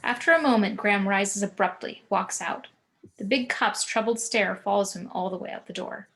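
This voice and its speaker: A woman's voice: medium pitch, moderate pace, fairly bright tone. A woman narrating scene directions for a screenplay table read, occasionally stumbling over words.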